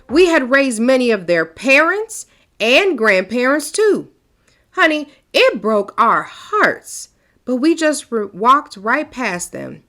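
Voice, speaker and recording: Expressive woman reading a storybook. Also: fairly high pitch. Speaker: children's storytime host. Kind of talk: reading a picture book aloud.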